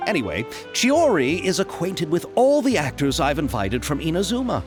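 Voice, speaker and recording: An eager young film producer, courteous and wordy with nervous little laughs. His voice sits a bit high and swings widely in pitch. Soft game music runs beneath him. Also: huge pitch swings, moderate pace. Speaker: game character. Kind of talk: video game voice acting.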